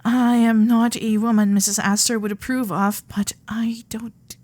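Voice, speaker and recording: A woman voicing a frank, flustered young Gilded Age society lady in a romantic ASMR audio drama, speaking earnestly in formal period phrasing with breathy asides. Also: on the high side, little pitch movement, a little slow, darkish tone, no echo, close to an everyday voice. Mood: earnest, tender.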